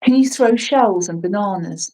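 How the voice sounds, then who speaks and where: A woman's voice: medium pitch, moderate pace, recorded from a distance, low-quality recording. A woman in her forties in casual, rambling chat, talking eagerly and jumping between thoughts. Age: 40s. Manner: chatty, rambling, excitable.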